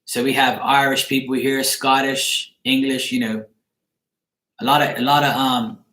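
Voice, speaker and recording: A man's voice: mid-range voice, flat delivery, a little slow, bright, forceful tone. A man, chatting casually on a livestream in a blunt, joking, informal way. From Bermuda. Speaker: livestream tarot reader. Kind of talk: casual livestream chat with viewers. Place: living room, indoors. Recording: echoey room with faint noise, clicks.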